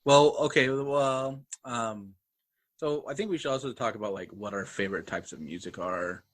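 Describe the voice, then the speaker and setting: A man's voice: mid-range voice, moderate pace, fairly bright tone. A man co-hosting a podcast, chatting casually and dropping in jokes and short replies. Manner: joking, short interjections. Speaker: podcast co-host.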